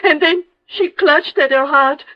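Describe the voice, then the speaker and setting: A woman's voice: high voice, measured pace. A woman playing a devoted, emotional maid who tells her story in a breathless rush in a 1950s radio melodrama. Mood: distraught. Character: devoted, overwrought female personal maid recounting a death. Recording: dull, lo-fi old radio recording.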